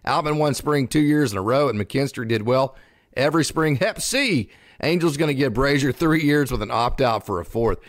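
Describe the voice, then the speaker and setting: A bilingual man hosts live sports talk in a dry, close sound. He is effusive and emphatic, chatting casually with his audience. His voice sits at an ordinary male pitch. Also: moderate pace, no room sound. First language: bilingual English and Spanish. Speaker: live show host reading viewer messages.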